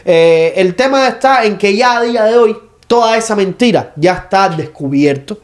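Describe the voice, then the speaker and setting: Man, young adult, Cuban accent, high-pitched, podcast co-host, long explanatory turns, many fillers. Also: lively intonation, moderate pace. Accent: Cuban Spanish. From Cuba. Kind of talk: casual panel debate.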